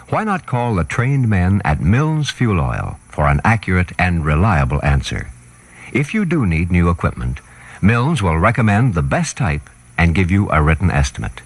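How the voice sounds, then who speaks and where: Casting: male sixties ad announcer, deep-ish and husky, earnest, with lively swings in pitch. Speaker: radio commercial announcer. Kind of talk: scripted 1960s radio ad read. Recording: vintage radio aircheck.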